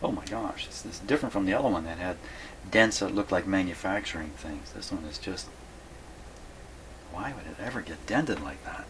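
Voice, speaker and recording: Male hobbyist repair host, low register, casual and folksy, talks to himself as he works, noticeably noisy audio. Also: expressive, normal speed. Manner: folksy, musing aloud to himself. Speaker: radio repair hobbyist hosting a video. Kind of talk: casual workbench commentary.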